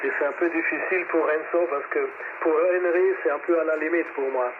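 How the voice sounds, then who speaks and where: Guy running a ham radio net, kinda high voice, lots of uh's, thin radio sound w/ static. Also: steady tone, brisk. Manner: hesitant, many filler sounds, spells call signs. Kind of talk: ham radio net chat, switching languages. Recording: tinny shortwave radio with static.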